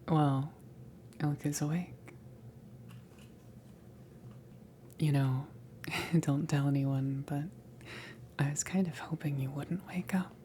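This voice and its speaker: A woman playing a casual, blunt alien salvage worker in an ASMR roleplay, speaking in a soft, breathy whisper with a halting, teasing delivery. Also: sits low. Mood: amused, curious. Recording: noticeable background noise, ambience. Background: ambient noise.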